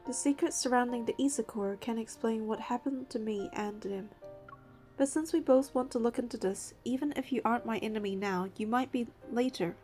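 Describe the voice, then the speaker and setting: An earnest young heroine of a story game, acted by a woman, with a fairly high, softly mellow voice. She speaks and narrates as a determined hunter, with music underneath. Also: natural voice. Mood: solemn. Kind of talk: scripted game dialogue and first-person narration.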